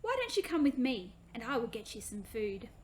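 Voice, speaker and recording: A woman voicing a kind, reassuring young mother-to-be in a children's storybook, gentle and coaxing. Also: a little high, very animated, moderate pace, slight background noise. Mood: comforting.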